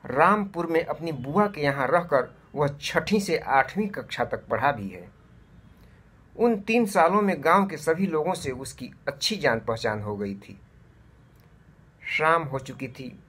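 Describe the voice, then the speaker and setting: Male storyteller for kids' moral tales: husky, deliberate, slow-paced delivery. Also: a little high, animated, a bit bright. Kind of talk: scripted moral story narration for children.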